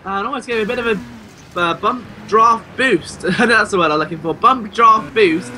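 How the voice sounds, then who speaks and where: A man giving excitable, exclamatory live play-by-play commentary on a motor race. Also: high-pitched, moderate pace. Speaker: sim racing race commentator. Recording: clear car engine noise behind. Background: racing car engines.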